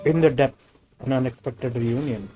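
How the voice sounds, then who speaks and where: A man's voice: mid-range voice, steady tone, moderate pace. A man with an Indian accent, a native Hindi speaker, casually streaming a game and reading its text aloud. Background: game music.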